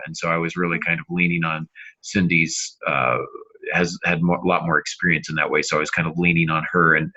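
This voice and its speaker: A man co-hosting a casual podcast discussion, speaking in a halting way with self-deprecating humor, on slightly dull audio. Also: deep voice, sharp tone. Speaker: podcast co-host and novelist.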